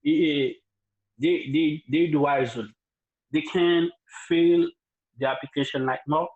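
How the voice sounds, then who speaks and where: A man's voice: on the high side, fairly even intonation, measured pace, a little mellow, husky, lo-fi sound. A man who is not a native English speaker asking a question over a video call, searching for words in broken English. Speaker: group member asking a question.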